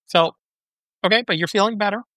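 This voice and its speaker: A woman's voice: medium pitch, a little fast, fairly bright tone, dry recording, clean studio sound. A woman co-hosting a casual podcast chat, insistent and repeating herself with stumbling starts. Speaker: podcast co-host.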